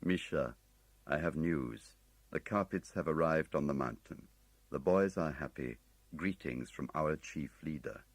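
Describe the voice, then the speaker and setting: A man's voice: a bass voice, unhurried, no echo, heavily acted. A man voicing an exhausted man who murmurs a coded message in a dead-tired way. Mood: weary.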